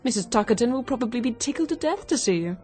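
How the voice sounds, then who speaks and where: An actress playing a keen, quick-witted young woman turned amateur sleuth in a radio drama, brisk, confident and persuasive. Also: fairly high pitch, lively intonation, darkish tone, close to an everyday voice. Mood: scheming, brisk.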